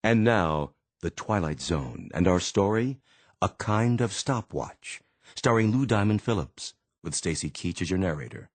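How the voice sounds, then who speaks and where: A man's voice: low voice, lively intonation, measured pace, music in the background. A man announcing a radio drama in a scripted, formal introduction.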